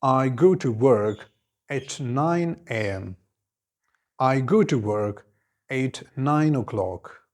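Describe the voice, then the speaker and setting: A man, teaching an English lesson and giving clear, deliberate model answers, each repeated in several forms. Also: mid-range voice, animated. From Khyber Pakhtunkhwa, Pakistan. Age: 20s.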